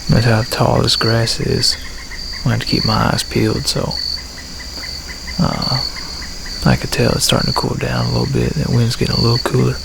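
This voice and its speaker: A man's voice: mid-range voice, very animated, fairly quick, gravelly. A man talking casually and unhurriedly to camera in an outdoor hunting vlog. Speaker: hunting vlogger. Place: outdoors in a hunting spot by a pasture. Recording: noisy outdoor recording with insect sounds. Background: loud crickets, insects and frogs.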